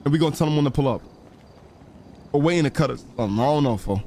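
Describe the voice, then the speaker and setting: A man talks quickly in casual, slangy in-game chatter. He tends to repeat phrases as he plays. There is faint background noise behind his voice. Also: fairly high pitch, lively intonation, dark tone. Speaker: streamer playing a crime role-play game.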